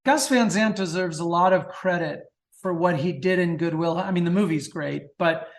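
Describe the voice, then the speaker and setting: Man talking haltingly as an interview guest. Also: sits high. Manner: halting, repeats words while thinking aloud. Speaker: guest author. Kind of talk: conversational interview answers.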